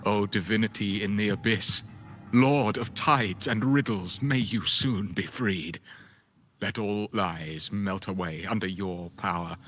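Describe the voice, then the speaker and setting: Slow, deep-voiced zealot villain. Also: male voice, fairly bright tone, slight background noise. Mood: fervent prayer. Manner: grandiose, solemn, theatrical. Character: menacing devout male cult villain, pompous zealot. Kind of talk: video game voice acting.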